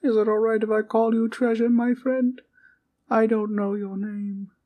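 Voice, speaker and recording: A woman's voice: a little high, level tone, normal speed, narrow, tinny audio, lightly acted. A woman voicing a small, kindly teddy bear guardian in a comfort audio roleplay, sweet and polite with courtly, old-fashioned wording and a soothing bedtime manner. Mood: warm, playful.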